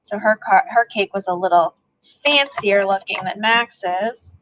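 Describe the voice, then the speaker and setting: A woman's voice: medium pitch, unhurried, fairly bright tone, slight background noise. A woman, an elementary teacher leading a read-aloud lesson for young children, asking questions and prompting as she goes.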